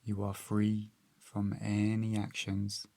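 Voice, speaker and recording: Slow, low-pitched man guiding meditation. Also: vocal fry, no echo. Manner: calm, gentle, measured delivery with long pauses. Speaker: meditation guide. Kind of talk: guided meditation narration.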